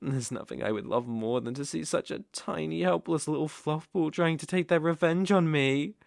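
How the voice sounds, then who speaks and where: A man's voice: on the high side, moderate pace, vocal fry, no echo, studio quality. A British man playing a cruel, doting sorcerer in an ASMR roleplay, cooing baby talk with smug menace. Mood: doting, menacing. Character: gloating, doting, cruel male sorcerer captor.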